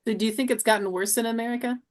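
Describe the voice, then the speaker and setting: A woman's voice: a little high, steady tone, very fast. Woman asking brief questions. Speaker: class instructor. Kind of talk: interviewing a guest in an online class. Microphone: video call.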